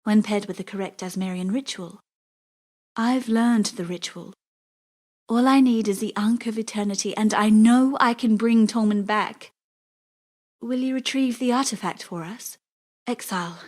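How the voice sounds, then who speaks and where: Fantasy game NPC: proud, world-weary exiled woman played by an actress, dark mellow voice, wry, grieving undertone, dry studio sound. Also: mid-range voice, moderate pace, no room sound, close to an everyday voice. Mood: pleading. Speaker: non-player character in a fantasy action game. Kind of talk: scripted dramatic game dialogue.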